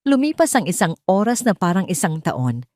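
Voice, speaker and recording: A woman's voice: fairly low pitch, sing-song, moderate pace, mellow, no room sound, studio quality. A woman with a Filipino accent narrating a dramatic first-person story in an emotive storytelling manner.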